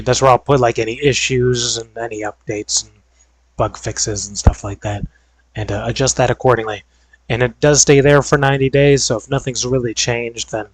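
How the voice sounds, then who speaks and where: A man's voice: medium pitch, breathy edge, dry recording, rough recording. Young man with a tiring voice. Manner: rambling, filler-heavy and hedging. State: voice going hoarse. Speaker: video creator. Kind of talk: casual gaming vlog chat.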